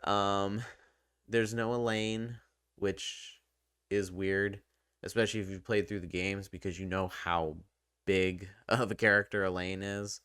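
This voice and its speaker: A man's voice: fairly low pitch, level tone, unhurried, a bit bright, clean tone, dry recording, studio quality. A man giving a casual, opinionated review in a rambling, conversational way, often hedging as he goes. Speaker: video reviewer.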